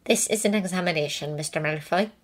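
A woman voicing a stern old female examiner who speaks quietly and firmly. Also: lowish voice, fast talker, close to an everyday voice.

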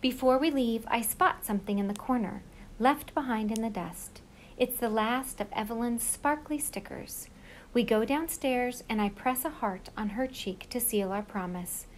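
A woman reading a children's picture book aloud in an expressive storytelling style. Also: fairly high pitch, normal speed, a little hiss or hum, rough recording.

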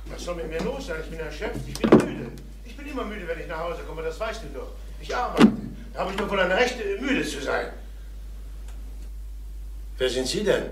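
An actor playing a tired, defensive salesman in a German crime radio play, speaking brusquely, evasively and haltingly. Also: on the high side, very animated. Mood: defensive, irritable. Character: tired, irritable male salesman, shaken and defensive. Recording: faint noise on old radio recording.

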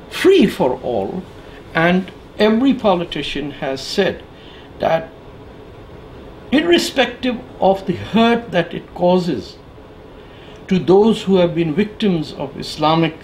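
A man in his sixties giving an opinion monologue to camera, emphatic and sardonic, with deliberate phrasing. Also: high voice, low-quality recording. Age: 60s. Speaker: political commentator. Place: living room with bookshelves, indoors. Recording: clear background noise.

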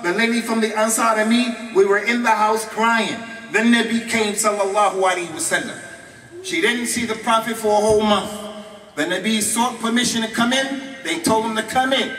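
A middle-aged American man preaching a mosque lecture at a stand microphone, animated and mixing Arabic phrases into his English. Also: extremely high pitch. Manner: animated, gestures widely, mixes in Arabic phrases. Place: large mosque hall, indoors. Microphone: stand microphone, filmed from a distant camera. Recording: echoey hall, distant camera mic.

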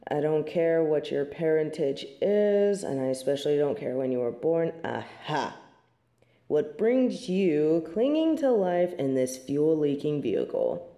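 A woman's voice: sits low, sing-song, a little slow, warm tone, reverberant, exaggerated voice. A woman playing a snobbish, scornful angel who judges human souls in formal phrasing, in a scripted audio drama monologue. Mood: scornful judgment. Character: haughty, disdainful female angel judging human souls.

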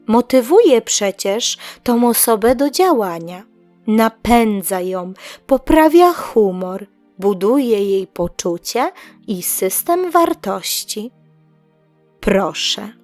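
A woman narrates a scripted children's tale in a measured, deliberate way, pausing between short phrases. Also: medium pitch, no echo. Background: music.

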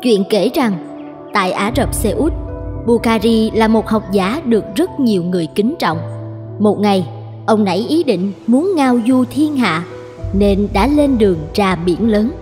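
A woman narrator reading a scripted tale in a measured, deliberate storytelling manner. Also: medium pitch, expressive, over music.

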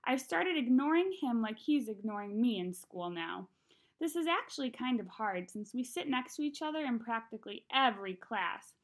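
Higher-pitched young woman reading a story aloud. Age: young adult. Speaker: read-aloud narrator. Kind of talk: expressive audiobook-style reading of a children's novel. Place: small room at home.

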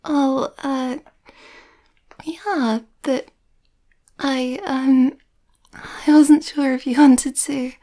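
A woman voicing a shy, sweet, anxious young werewolf girlfriend in a hesitant, soft, half-whispered ASMR roleplay. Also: high voice, steady tone, extremely slow, pauses often, exaggerated voice. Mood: nervous, tender.

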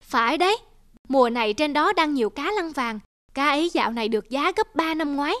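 A woman's voice: high-pitched, lively intonation, a little fast, fairly bright tone, no echo, studio quality. A woman voicing a supportive elderly wife of a poor fisherman in a cartoon folk tale, homely and encouraging.

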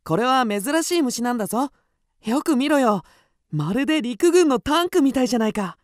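Excitable high-voiced schoolboy. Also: male voice, normal speed, dry recording, studio quality. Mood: eager, bossy. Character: excitable, mischievous ten-year-old schoolboy, bossy big brother.

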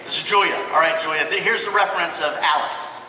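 A man's voice: fairly high pitch, fast talker, far from the mic. A man hosting a stage show, speaking casually and upbeat to the audience. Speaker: host of a stage presentation. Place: stage in a large convention hall. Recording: echoey large hall from afar, noisy crowd.

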